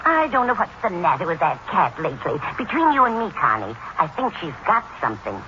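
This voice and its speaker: A woman's voice: lowish voice, wildly swooping pitch, fairly quick, pushed and bright, full character voice. An actress playing a kindly, fussy older landlady, motherly and chatty, in 1940s radio sitcom style. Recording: dull, noisy old radio recording.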